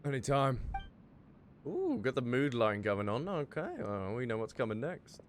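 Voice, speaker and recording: Animated male gaming streamer. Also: medium pitch, slight background noise. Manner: playful, drawn-out interjections. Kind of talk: casual reaction commentary over gameplay. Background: game music.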